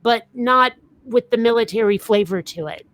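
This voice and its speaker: A woman cohosting a podcast, talking casually in a chatty, quick-to-react way. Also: a little high, fairly bright tone. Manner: chatty, self-described manic. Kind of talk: casual chat.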